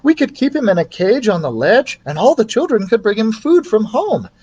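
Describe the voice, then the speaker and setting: Man as a sensible, high-voiced young kindergarten schoolmistress. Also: very animated, normal speed, lo-fi sound, slight background noise. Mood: eager. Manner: bright, practical, careful. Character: sensible, kindly young woman kindergarten teacher.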